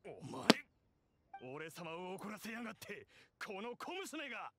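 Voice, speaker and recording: A man's voice: high-pitched, sing-song, takes it slowly, heavy background noise, exaggerated voice. A man playing a slick young merchant who is secretly a bandit, theatrical Japanese anime game acting. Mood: menacing.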